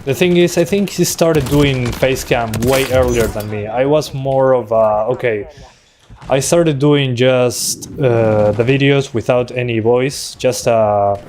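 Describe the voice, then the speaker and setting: Man, 20s, casual gaming commentary, mid-pitched, faint gunfire behind. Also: unhurried, a little hiss or hum. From Uruguay. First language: Spanish. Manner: relaxed, chatty, answers chat between fights. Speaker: tech streamer. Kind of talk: casual live-stream commentary while gaming. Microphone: desktop USB microphone. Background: faint game gunfire.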